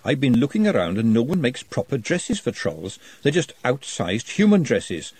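A man voicing a brisk, practical woman who runs a kitchen, in a storybook reading, matter-of-fact. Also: mid-range voice, sing-song, darkish tone, slightly rough, no echo.

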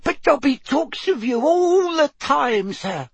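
A man voicing a tiny, timid female house elf servant in an audiobook, squeaky and quivering, deferential and anxious, with broken grammar. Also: big pitch swings, gravelly, no room sound, heavily acted. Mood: awed, apologetic. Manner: subservient, broken grammar, drawn-out phrasing.

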